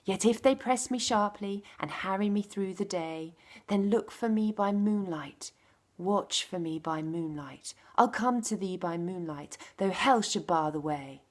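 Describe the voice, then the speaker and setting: A woman voicing a bold, romantic male highwayman in a poem, speaking urgently and declaiming to his sweetheart. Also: measured pace, close to an everyday voice. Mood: ardent, urgent. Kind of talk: dramatic poem recitation.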